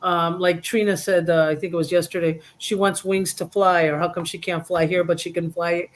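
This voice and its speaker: Co-host for a casual spiritual roundtable, mid-range voice, rambling storyteller with an animated, teasing streak. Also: medium pitch, moderate pace. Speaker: roundtable co-host reading cards.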